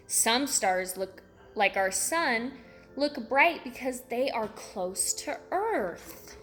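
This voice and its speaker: A woman's voice: fairly high pitch, a bit bright, echoey room. A woman preschool teacher reading aloud to young children, encouraging them with simple repeated phrases and questions.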